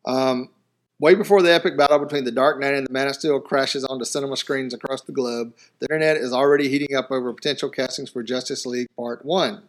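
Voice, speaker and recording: Male co-host, even and matter-of-fact, reads items plainly. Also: mid-range voice, quick pace. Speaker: podcast co-host. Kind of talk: casual chat, reading news items.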